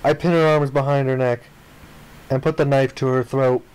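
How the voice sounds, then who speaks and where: A man's voice: mid-range voice, flat delivery, normal speed, a little mellow, clean tone, low-quality recording. A man reading a visual novel aloud as dramatic narration for a game playthrough.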